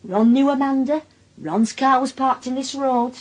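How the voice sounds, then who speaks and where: A woman's voice: sits high, a little slow, darkish tone, rough recording. An actress playing an anxious, defensive working-class mother with a Northern English accent in a naturalistic radio drama, speaking hesitantly and evasively. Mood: flustered, defensive.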